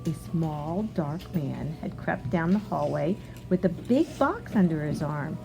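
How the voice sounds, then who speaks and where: A woman reading a storybook aloud like a teacher, expressive and instructive, pausing to put questions to young listeners. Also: sits low, gravelly, music in the background.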